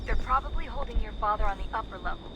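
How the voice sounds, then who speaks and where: An actress plays a young female teammate in a video game, high-pitched and concerned. She gives mission guidance with clear music behind her. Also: fast talker, natural voice, poor audio. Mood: cautioning.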